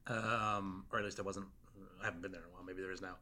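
A man hosting a podcast, asking questions in a rambling, halting way. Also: deep voice, fairly even intonation, pushed and bright. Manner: rambling, halting, many restarts. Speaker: podcast host. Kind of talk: casual interview.

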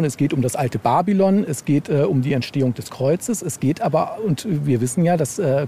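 A man talks in a mellow, slightly higher voice, as a guest in a casual interview. He rambles along and keeps checking in with short tag words. Faint ambient noise sits behind him. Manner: rambling, tags phrases with "ne". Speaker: interviewed novelist. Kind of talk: casual podcast interview chat.

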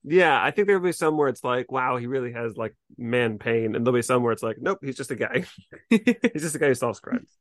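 A podcast co-host chatting casually about a TV episode, retelling things in an animated, conversational way. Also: mid-range voice, a little fast, no room sound, clean studio sound.

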